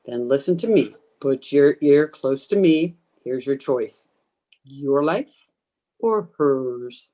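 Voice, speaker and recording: Woman playing a low, menacing female ghost. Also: expressive, mellow, exaggerated voice. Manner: slow, commanding, taunting. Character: menacing undead female ghost hungry for blood and life. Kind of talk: old time radio horror acting. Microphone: video call.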